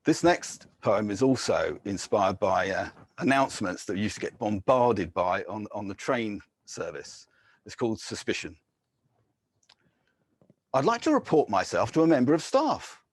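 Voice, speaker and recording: A man, a poet, reading his own poems in a measured, deliberate way, with short casual introductions between them. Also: mid-range voice, husky, no echo. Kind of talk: poetry reading with spoken introductions.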